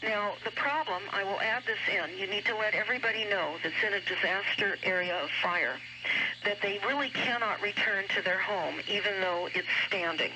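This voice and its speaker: A woman giving an earnest, emphatic explanatory talk on a shortwave radio broadcast, speaking deliberately. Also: mid-range voice. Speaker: radio show guest commentator. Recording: noisy shortwave radio broadcast, heavy static. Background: loud radio static and noise.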